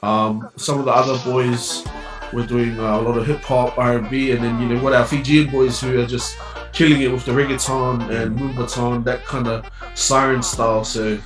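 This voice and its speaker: A man's voice: medium pitch, little pitch movement, moderate pace, over music. Laid-back laughing man, roomy distant mic. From New Zealand. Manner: laid-back, laughs often, many fillers. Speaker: DJ and music producer. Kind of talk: casual interview chat.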